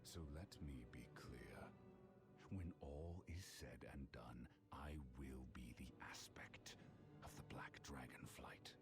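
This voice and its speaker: A cavernously low voice for a stern dragon lord, every phrase slow and grave. He sounds imposing and resolute, a video game rival for a throne, with music clearly behind him. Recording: music under the voice.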